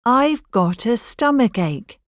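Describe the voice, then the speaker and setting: Woman speaker for course audio, rather high voice, very slow and careful, dry studio sound. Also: expressive, no echo. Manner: slow, careful model sentences for learners.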